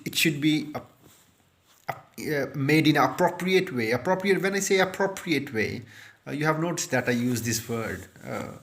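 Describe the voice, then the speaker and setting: A man in his thirties, Urdu his first language, talks straight into a phone held close. His delivery is earnest and repetitive, with frequent fillers, stumbles and restarts. His voice sits at an ordinary pitch for a man. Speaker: IELTS speaking tutor. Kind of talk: unscripted tutorial monologue to camera. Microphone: phone held close, front camera.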